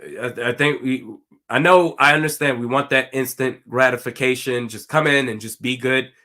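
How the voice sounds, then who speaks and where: A man with a slightly raspy, hoarse voice, giving casual live commentary on a football game and reading out viewer comments. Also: medium pitch, fairly even intonation, moderate pace, pushed and bright. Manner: casual, talks to chat, reads comments aloud. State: hoarse from screaming. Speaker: watch party streamer.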